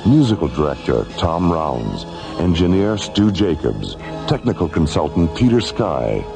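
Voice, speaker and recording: Male narrator-host for radio drama: low voice, expressive intonation, solemn formal announcing over music. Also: a little slow, creaky. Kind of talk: scripted dramatic narration and credits. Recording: music behind the voice.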